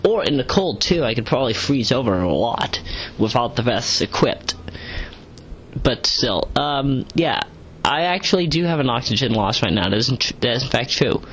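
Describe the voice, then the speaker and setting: Male, mid-pitched, halting and stiffly formal audio-log talker, noisy home recording. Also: animated, a bit bright. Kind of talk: rambling audio log reading out comments with asides.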